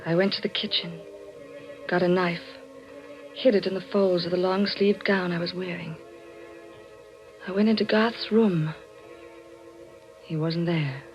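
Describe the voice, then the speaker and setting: A woman's voice: lowish voice, fairly even intonation, a little slow, long pauses, faint music behind, lightly acted. Woman acting an anxious wife, muffled old radio. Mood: fearful, suspenseful. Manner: earnest, tense, dramatic. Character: anxious, determined wife suspecting her husband of murder. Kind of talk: 1940s radio mystery acting, first-person dramatic narration.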